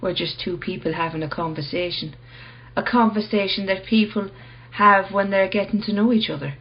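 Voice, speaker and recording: A woman playing a reserved, quietly probing art gallery owner in a radio play, measured and understated. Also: medium pitch, lo-fi sound, lightly acted. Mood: gently probing.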